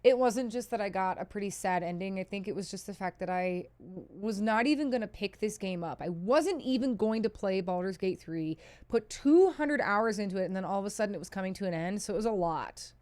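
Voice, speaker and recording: A woman's voice: mid-range voice, animated, fairly quick, few pauses, darkish tone, no room sound. A woman from the chats casually on a livestream, answering questions in a candid, self-deprecating, sweary way. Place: indoors, seated at a PC.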